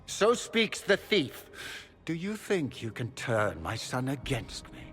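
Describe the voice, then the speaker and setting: Haughty scheming magister, echoing hall. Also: male voice, medium pitch, sing-song, takes it slowly, a bit bright. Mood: scornful. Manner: courtly, condescending. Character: haughty, scheming Tevinter magister, smooth-talking villainous father. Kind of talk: dramatic video game acting. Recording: long hall reverb, music behind.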